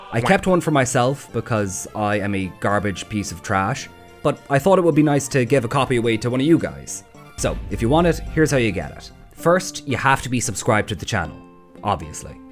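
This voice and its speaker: A man reading scripted video essay narration in a wry, sardonic, sweary way. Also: lowish voice. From Ireland. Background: background music.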